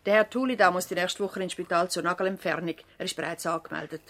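A woman's voice: on the low side, fast, fairly bright tone. A woman with a Swiss accent playing an efficient, bustling doctor's assistant in a radio drama, matter-of-fact and urging. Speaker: actor. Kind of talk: naturalistic radio drama acting.

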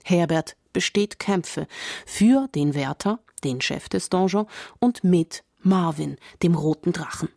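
A woman's voice: on the low side, slow pace, gravelly, darkish tone, dry recording, studio quality. A woman working as a radio culture reporter, delivering scripted narration.

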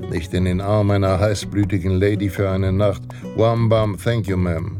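A low male voice from an actor narrates a pulp Western for radio, grave and self-assured. The delivery is measured and dramatic, with plucked guitar clearly playing behind it. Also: fairly even intonation, lightly acted. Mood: solemn. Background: guitar music.

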